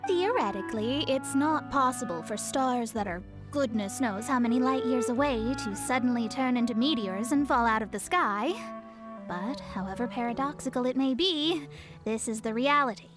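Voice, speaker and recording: Proud young astrologer woman, an actress's role, high voice. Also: moderate pace, over music, close to an everyday voice. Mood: businesslike. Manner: haughty, self-assured, articulate. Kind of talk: video game character acting.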